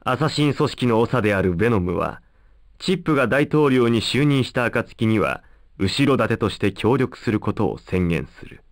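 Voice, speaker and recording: A man narrating a video game story in a formal, solemn, measured manner. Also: mid-range voice, expressive, dry recording, studio quality, natural voice. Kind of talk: scripted dramatic narration.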